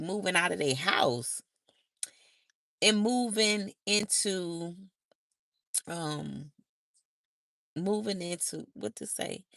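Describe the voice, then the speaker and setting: A hoarse woman with a low, gravelly voice tells a family story on a livestream. Her pitch leaps and dips expressively as she rambles through chatty asides. The sound is close and dry. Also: fairly bright tone, no echo. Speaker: motivational speaker. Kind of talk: casual live-stream storytelling.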